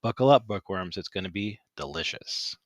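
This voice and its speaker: An older male host opens his show with a scripted, punchy introduction. His voice sits on the low side, and the recording is close and completely dry, with no room sound at all. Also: lively intonation, moderate pace, dry recording. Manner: upbeat, punchy delivery. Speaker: podcast host.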